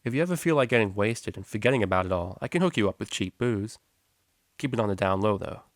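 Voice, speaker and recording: A man's voice: on the low side, animated, fast talker, no room sound, studio quality, close to an everyday voice. A man voicing a laid-back, slangy young male pony student in a story reading. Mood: conspiratorial. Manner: slangy, breezy.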